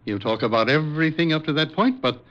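An actor playing an educated, patient, dryly amused psychiatrist in an old radio drama, speaking in a measured, formal, precise way. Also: male voice, a little high, lively intonation, lightly acted. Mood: earnest, explanatory. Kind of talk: 1950s radio drama acting. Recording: dull, lo-fi old radio recording.

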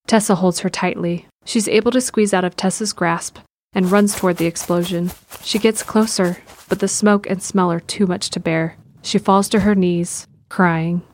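Low-pitched woman narrating a drama. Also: fairly even intonation, moderate pace, hardly any pauses. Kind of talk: scripted present-tense audio drama narration.

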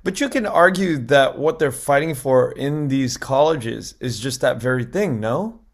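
Halting man hosting a podcast. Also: mid-range voice, lively intonation. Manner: halting, restarts a lot. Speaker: podcast host. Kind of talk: casual interview questions.

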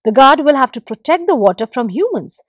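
A woman voicing a kind male creator god who comforts and commands the animals in a warm, reassuring way. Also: high-pitched, sing-song, fairly quick, no room sound, clean studio sound, pitched above the performer's own voice.

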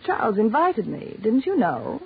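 A very husky, brassy American movie actress on the skids in old radio drama style. She is cynical and slangy, mocking as she schemes, on a muffled vintage recording. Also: on the high side, big pitch swings, unhurried, heavily acted. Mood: breezy, flippant. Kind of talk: 1940s radio horror drama acting.

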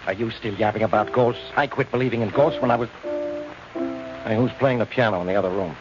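An actor playing an irascible, skeptical police inspector in a 1940s radio mystery, blustering and impatient. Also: male voice, lowish voice, quick pace, close to an everyday voice. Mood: irritated, incredulous. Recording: muffled old radio recording, music behind.

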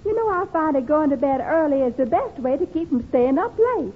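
A woman's voice: high voice, expressive, a little fast. A woman acting a cheerfully dim secretary who rambles innocently in an old radio sitcom. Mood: chatty, oblivious. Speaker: radio comedy actress. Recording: old muffled narrow-band radio broadcast, hiss.

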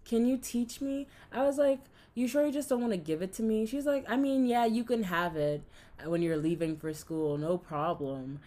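A young woman co-hosting a podcast, chatting casually and telling animated stories about her family. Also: on the high side, normal speed. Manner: animated storyteller who acts out family dialogue, laughs easily. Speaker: podcast co-host. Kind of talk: casual chat.

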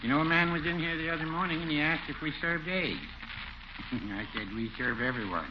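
An actor playing a mild, rambling, folksy neighborhood druggist in a 1940s radio comedy, on a muffled old recording. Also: male voice, on the high side, a little fast, heavy background noise, close to an everyday voice. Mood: chuckling, genial. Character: folksy, literal-minded old neighborhood druggist, chuckling at his jokes. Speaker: supporting comic character.

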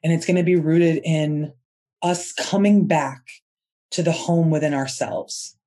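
A woman hosting her own podcast, talking in an earnest, heartfelt way and stretching out the words she stresses. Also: low voice. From United States. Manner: earnest, soft-spoken and heartfelt, drawn-out emphasis. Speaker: podcast host. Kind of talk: inspirational podcast monologue.

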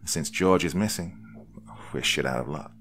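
A man's voice: a bass voice, normal speed, fairly bright tone, a little hiss or hum. A man playing a blunt, impatient, calculating woman who explains matter-of-factly in a story.

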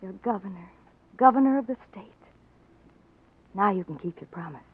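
An actress playing a politician's devoted wife in a 1940s radio drama, earnest, tender and emotive. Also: female voice, mid-range voice, expressive, moderate pace, husky. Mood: proud, hopeful. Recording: muffled, tinny old radio recording.

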